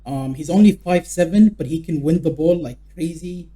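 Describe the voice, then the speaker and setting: A man's voice: a little high, normal speed, warm tone. A man giving his opinions casually as a panelist in a football discussion.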